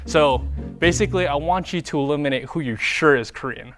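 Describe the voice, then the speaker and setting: A man's voice: a little high, a little fast, fairly bright tone, soft background music. Man hosting a studio guessing game. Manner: upbeat, directing contestants. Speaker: host. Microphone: handheld mic.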